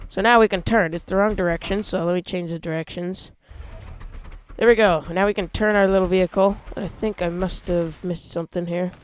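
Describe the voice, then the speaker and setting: A man hosting a casual gameplay video, talking through how he builds a vehicle, with excited yelps when he drives it. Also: sits high, brisk, clear, resonant tone. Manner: chatty, explains while building, excited yelps when driving. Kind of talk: casual let's-play commentary.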